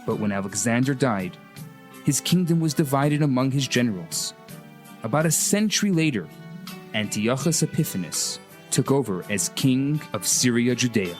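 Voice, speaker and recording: A man's voice: mid-range voice, big pitch swings, moderate pace. A man co-hosting a radio special as a rabbi, delivering scripted storytelling narration. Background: music.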